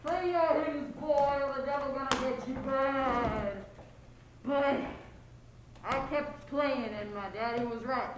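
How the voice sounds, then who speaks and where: A haunted night watchman of the piers played by a young woman on stage intones Old Testament warnings like a preacher. The voice is high and unhurried. It rings through a very echoey theatre space. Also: noisy background. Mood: intense, rambling. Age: teenager. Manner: incantatory, preaching in archaic biblical phrases. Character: haunted, scripture-quoting male pier watchman, Willie. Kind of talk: high school stage play acting. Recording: echoey stage hall recording.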